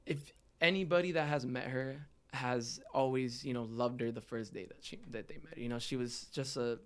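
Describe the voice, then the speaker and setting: A man's voice: medium pitch, fairly even intonation, normal speed, a bit bright, smooth. A man in his twenties speaking candidly and earnestly as a guest in a conversational interview. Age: 20s. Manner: earnest, heartfelt. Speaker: podcast guest, rapper.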